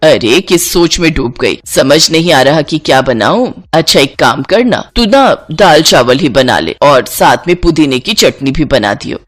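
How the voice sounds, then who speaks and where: A woman's voice: deep voice, lively intonation, a little slow, few pauses, pitched below the performer's own voice. A woman voicing a stingy, scolding older Indian mother-in-law in a bossy, nagging way for a story. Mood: dismissive.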